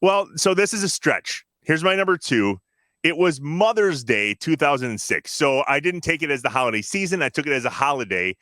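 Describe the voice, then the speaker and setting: Bright, animated man in his thirties. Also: on the high side, brisk, dry recording. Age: 30s. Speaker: podcast co-host. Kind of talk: casual sports banter.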